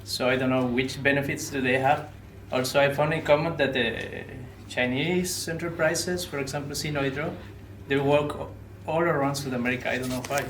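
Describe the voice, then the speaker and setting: Man from Bolivia, measured explainer, far from the mic in a noisy room. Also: mid-range voice, steady tone, a bit bright, roomy sound. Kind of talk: casual discussion.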